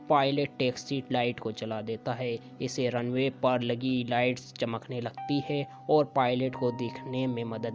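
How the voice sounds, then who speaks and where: A man reads a scripted explainer narration in short phrases, stumbling now and then. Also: mid-range voice. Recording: dull lo-fi voice track. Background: music with guitar.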